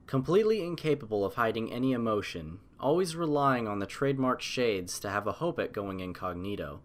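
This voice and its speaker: A man narrates a piece of fiction in a medium-pitched voice. His delivery is scripted and literary, earnest in tone and dramatic in its phrasing, as in an audiobook.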